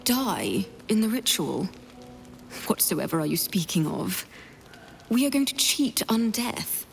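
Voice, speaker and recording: A fatalistic vampire thrall in a game cutscene. She speaks slowly at a middling pitch, solemn and resigned, with faint music underneath. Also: big pitch swings, vocal fry, full character voice. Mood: solemn, doubting. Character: enthralled female vampire spawn, solemn and fatalistic. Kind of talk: video game cutscene acting.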